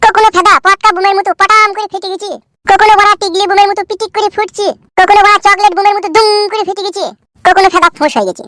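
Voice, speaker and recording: Very high, whiny cartoon son-in-law. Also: male voice, little pitch movement, fast, sharp tone, dry recording. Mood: self-pitying lament. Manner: pleading, self-justifying, foul-mouthed.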